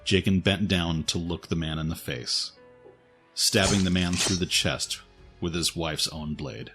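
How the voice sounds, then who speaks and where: A man's voice: sits low, steady tone, measured pace, pushed and bright. A man narrating a scripted dramatic story as its storyteller. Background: faint background music.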